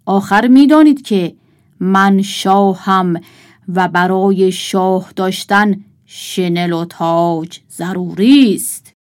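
A woman's voice: mid-range voice, close to an everyday voice. Woman playing a pompous storybook toy king. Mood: self-important. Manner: pompous, formal, declaiming. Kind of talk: children's storybook character.

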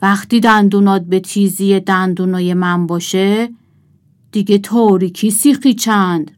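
A woman's voice: medium pitch, steady tone, a little mellow, lightly acted. A woman voicing a boastful, grumbling toy wolf in a children's storybook, with a menacing manner. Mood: boastful menace.

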